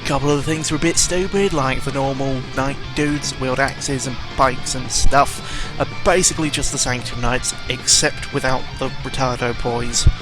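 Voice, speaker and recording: A man's voice: medium pitch, fairly even intonation, normal speed, few pauses, poor audio. A young man ranting casually about video games, exasperated and full of fillers. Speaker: gaming vlogger. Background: video game music.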